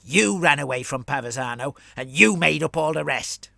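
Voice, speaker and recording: A man's voice: fairly high pitch, animated, moderate pace, creaky, sharp tone, no room sound, studio quality, heavily acted. A man voicing a nervous male goblin scout boss who mocks with shaky bravado. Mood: mocking.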